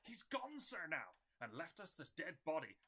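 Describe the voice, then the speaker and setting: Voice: mid-range voice, moderate pace, breathy edge, heavily acted. An amateur actor playing an agitated prison keeper giving urgent news in an early modern comedy audio drama. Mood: alarmed. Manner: urgent, excitable.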